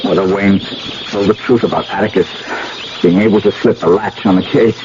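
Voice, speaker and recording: A man playing an intense, earnest research scientist and devoted lover in a 1970s radio drama, speaking in a deliberate, lecturing manner. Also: on the low side, fairly even intonation, lightly acted. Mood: grim, urgent. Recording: muffled, very noisy old radio broadcast.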